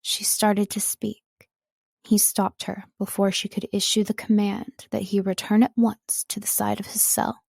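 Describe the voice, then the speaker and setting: A woman reads scripted fiction as an audiobook narrator. Her delivery is slow and expressive, with a creaky edge at a middle pitch. The sound is close and dry. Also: dry recording. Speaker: audiobook narrator, voice-over artist.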